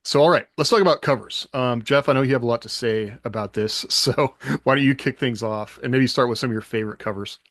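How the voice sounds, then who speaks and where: A man's voice: mid-range voice, fast, hardly any pauses, no room sound. A man co-hosts a podcast, his mid-range voice easygoing and a little meandering, with plenty of ums. He sits close to a dry, dead-sounding mic. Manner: relaxed, rambling, many ums. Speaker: podcast co-host. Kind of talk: casual podcast chat.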